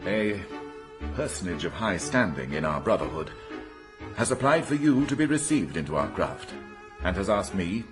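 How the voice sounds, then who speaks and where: Aristocratic sponsor, radio drama, deep, animated pitch, solemn, formal, violin music behind. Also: male voice. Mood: solemn, ceremonious. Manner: ceremonious, measured. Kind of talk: British radio drama acting.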